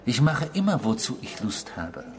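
A man's voice: mid-range voice, sing-song, moderate pace, a crowd in the background, close to an everyday voice. An actor in a German radio drama playing an intrusive, eerie man who philosophizes and confesses with pompous, formal politeness. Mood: insistent.

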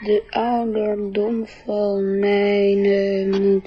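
An actress playing a dreamy, earnest young schoolboy in a radio play, slow and slightly husky. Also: female voice, mid-range voice, completely flat delivery, lo-fi sound, full character voice. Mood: laboured, then coaxing. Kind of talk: radio drama acting.

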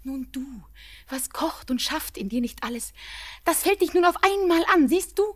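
An actress playing an anxious, devoted young woman in love, pleading in fervent, breathy, poetic radio drama diction. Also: high-pitched, expressive, normal speed. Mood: tender, anxious.

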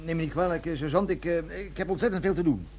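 An actor playing a fussy, flustered army captain who stammers and repeats himself in a comic radio play. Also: male voice, fairly high pitch, quick pace, close to an everyday voice. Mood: flustered, busy. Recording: clearly noisy recording with hum.

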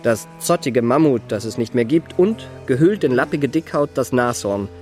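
Actor as florid young charming impostor. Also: male voice, mid-range voice, lively intonation, normal speed, a little mellow, soft background music, close to an everyday voice. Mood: marvelling. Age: young adult. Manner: florid, courtly, elaborate phrasing. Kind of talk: literary radio drama acting and narration.

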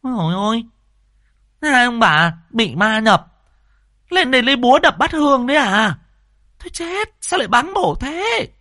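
Man doing a shrill, brash village woman. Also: lively intonation, normal speed, a bit bright, no echo, clean studio sound. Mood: scolding shock. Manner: shrieking, exclaiming. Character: loud, brash, gossipy village neighbour woman.

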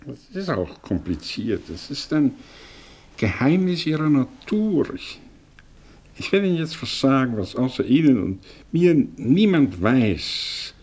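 Jaded intellectual professor, old radio play, declaims slowly, impassioned and swooping all over. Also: male voice, mid-range voice, sing-song, darkish tone, husky. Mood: confiding, intense. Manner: theatrical, declamatory, sardonic. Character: cynical, eloquent middle-aged professor, impassioned and haunted. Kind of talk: mid-century German radio play acting.

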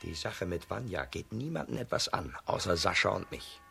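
A commanding, stubborn village bully of a brother, lowish and gravelly with a hard, forceful edge. He blusters and threatens in with big swings of pitch, as children's radio drama. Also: very animated, normal speed, full character voice. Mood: gruff, commanding. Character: gruff, bossy peasant brother, threatening and stubborn. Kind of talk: German children's radio play acting.

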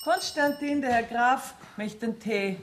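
A woman's voice: on the high side, measured pace, lightly acted. An actress playing a shrewd, courteous Bavarian woman banker receiving clients in a radio drama. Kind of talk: German radio drama acting. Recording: 1970s radio production with clear noise.

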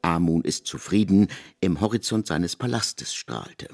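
A man's voice: low voice, expressive, unhurried, gravelly, darkish tone, full character voice. A man narrating a literary radio play in a declamatory, emphatic way, savouring ornate words.

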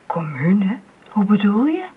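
A woman's voice: medium pitch, sing-song, takes it slowly, slight background noise. An actress playing an indignant, gossiping neighbour woman in a radio play, scandalised and scornful. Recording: muffled narrowband old radio play recording.

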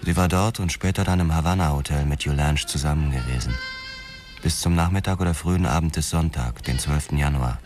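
Male detective narrator for noir radio drama: extremely low voice, measured, factual, grim, over clear music. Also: darkish tone. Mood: grim, focused. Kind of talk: hard-boiled crime radio play narration. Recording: music under the voice.

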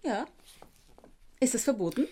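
A woman's voice: on the high side, animated, unhurried, warm tone, breathy edge. An actress playing a cool, elegant lady diamond dealer with a composed, curt manner in a radio drama. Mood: cool, guarded.

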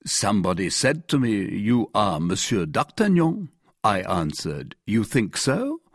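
A calm, unruffled musketeer of about thirty from an audiobook, read by a man. His voice is slightly husky and closely recorded. He speaks in a measured, deadpan, quietly wry manner. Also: lowish voice, no room sound, clean studio sound, pitched above the performer's own voice. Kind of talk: dramatized audiobook reading.

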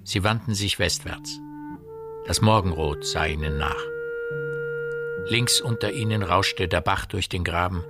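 Low-pitched male radio drama narrator. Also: a little slow, pauses often. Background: music.

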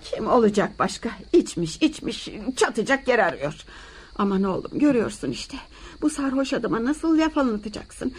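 A woman's voice: fairly high pitch, lively intonation, normal speed, slightly rough. A woman playing an anxious, long-suffering mother in a Turkish radio play. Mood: weary, tender. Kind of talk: Turkish radio theater acting.

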